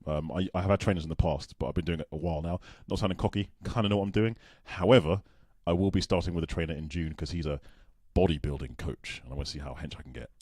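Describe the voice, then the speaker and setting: Low voiced guy talking fast on a video call, jokey and rambly, dry sound. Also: darkish tone, no room sound. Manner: quick, joking, rambling with restarts. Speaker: podcast co-host. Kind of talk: casual chat between friends.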